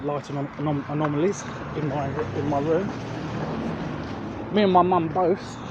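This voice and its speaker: A man chatting casually to viewers as a vlog host, hesitant and often correcting himself mid-sentence. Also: a little high. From England. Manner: hesitant, self-correcting, repeats words. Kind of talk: casual rambling chat to viewers. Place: outdoors, walking along urban streets. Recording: outdoor recording with traffic noise.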